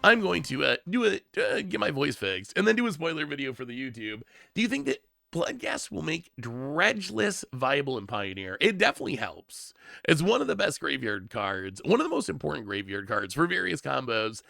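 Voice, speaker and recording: A man with a gravelly, bright voice that climbs and dips a lot, talking casually on a livestream. His voice is strained and worn from congestion, and he often repeats himself while thinking aloud. The sound is dry and close. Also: fairly high pitch, big pitch swings, a little fast, no echo. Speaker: streamer reviewing card spoilers.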